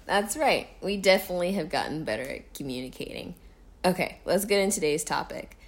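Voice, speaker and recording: A woman's voice: lowish voice, expressive, fairly quick. A woman co-hosting a casual podcast, chatty and upbeat, with plenty of fillers. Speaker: podcast co-host. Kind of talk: casual chat.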